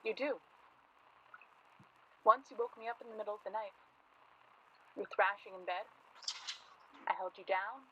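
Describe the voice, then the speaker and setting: A woman playing a worried yet tender, teasing 1940s journalist in a naturalistic radio play, with a husky voice. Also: medium pitch, normal speed, long pauses, a bit bright, slight background noise, lightly acted. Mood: anxious. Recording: dull lo-fi recording.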